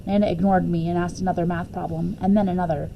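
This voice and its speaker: Mellow woman reading a children's novel aloud. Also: on the low side, little pitch movement, fast talker, creaky, a little hiss or hum, rough recording. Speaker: teacher reading a book aloud. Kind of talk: expressive children's novel read-aloud in first person.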